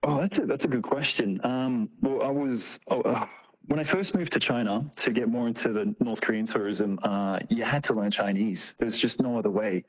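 A man's voice: mid-range voice, moderate pace, slightly rough, low-quality recording. A man speaking as an interview guest, explaining and telling anecdotes in an informal, slangy way and acting out short quotes. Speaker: interview guest, tour operator. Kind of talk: casual conversational anecdotes and explanation.

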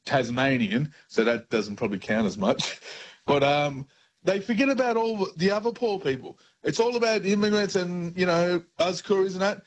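Young aussie caller, high voice, rambles, keeps saying you know what i mean. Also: male voice, normal speed, rough recording. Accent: Australian. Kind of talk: casual phone-in chat.

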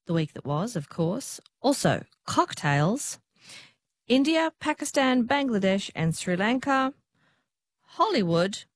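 A woman's voice: medium pitch, no echo, studio quality. Chatty woman quiz host. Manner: chatty, laughs easily. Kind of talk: live radio quiz chat. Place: radio studio.

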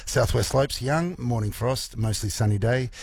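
Croaky Australian radio host, husky. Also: male voice, mid-range voice, moderate pace, a little mellow, no echo. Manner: chatty, playful, rambling asides. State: croaky morning voice. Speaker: radio presenter. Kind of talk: casual breakfast radio chat. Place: radio studio.

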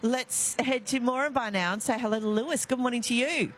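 A woman's voice: fairly high pitch, a little fast. A woman with an Australian accent hosting live talkback radio outdoors, chatty and self-mocking, laughing easily. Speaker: radio host. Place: outdoors outside a stadium, live broadcast. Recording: faint outdoor background noise. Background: faint ambient noise.

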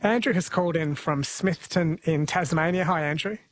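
A male radio host takes calls and keeps the chat moving with short replies. His voice sits fairly high and has a husky edge. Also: moderate pace. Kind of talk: talk radio hosting.

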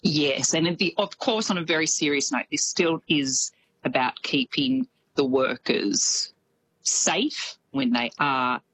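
Brief: Australian woman, lower-pitched voice, animated radio correspondent, faint background hum. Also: slow, poor audio. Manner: lively, animated storytelling with a laugh in the voice. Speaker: New Zealand correspondent. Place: based in Dunedin, on a remote line to the studio.

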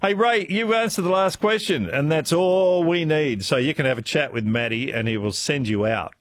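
A man hosting a talkback radio quiz, chatty and playful and quick to laugh, taking callers in a studio. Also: fairly high pitch, no room sound. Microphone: studio microphone.

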